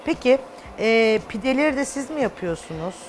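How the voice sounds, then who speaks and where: A woman's voice: a little high, expressive, fairly quick, slight background noise. A woman in her thirties hosting a cookery show, interviewing casually and echoing her guest's answers. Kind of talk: casual interview with short reactions. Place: TV studio kitchen set. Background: background music.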